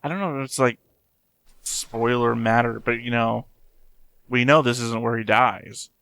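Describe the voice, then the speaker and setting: A man's voice: medium pitch, fairly even intonation, a little slow, creaky, fairly bright tone, smooth, no echo. A man giving casual, off-the-cuff commentary as he watches a show, blunt and given to casual swearing. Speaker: reaction video host.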